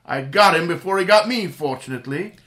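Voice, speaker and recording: A man acting a calm, commanding playboy crime-fighter in a 1930s-style radio drama reenactment. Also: sits high, fairly quick. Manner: cool, commanding, reassuring.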